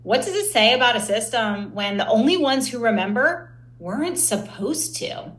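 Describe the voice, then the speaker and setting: A woman's voice: on the high side, animated, moderate pace, a bit bright. A woman hosting a podcast interview in a conversational, emphatic and engaged way. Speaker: podcast host. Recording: roomy, echoey sound.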